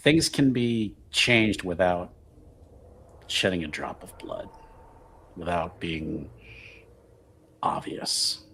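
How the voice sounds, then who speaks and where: A smooth, calculating male rogue acted by a man in a tabletop fantasy game. The voice is low and very slow, swinging widely in pitch, with insinuating pauses over faint noise. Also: animated, a bit bright, heavily acted. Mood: quietly persuasive.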